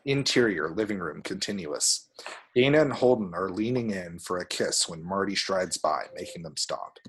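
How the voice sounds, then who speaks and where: Male narrator, low and husky, reading stage directions at a script table read. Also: expressive, normal speed, a bit bright, rough recording. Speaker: reader of action descriptions at a table read. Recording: home recording.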